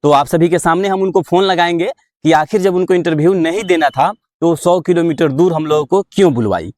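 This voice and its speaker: A man's voice: a little high, a little fast, darkish tone, no room sound. A man with a Bihari accent working as a reporter, questioning someone over the phone in a pushy, goading way. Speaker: local reporter interviewing by phone. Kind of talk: phone interview, casual confrontational questioning.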